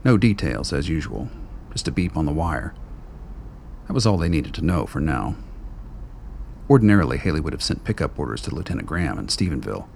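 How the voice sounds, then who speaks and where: A man with an extremely deep, gravelly voice narrates a radio drama. His delivery is steady and deliberate, and he lets each scene unfold at its own pace. A faint hiss sits under the recording. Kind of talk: scripted narration.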